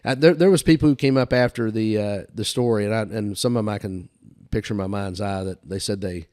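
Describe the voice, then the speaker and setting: Older man with a low voice, telling stories. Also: brisk, darkish tone. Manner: folksy, recounts dialogue back and forth. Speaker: podcast guest telling career stories. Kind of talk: casual anecdotal storytelling.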